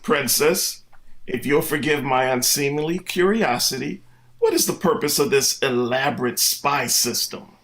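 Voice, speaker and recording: A man's voice: medium pitch, unhurried, a bit bright. A man playing a wordy, mock-formal, exasperated middle-aged father in a vintage-style radio comedy. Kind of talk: vintage radio sitcom acting.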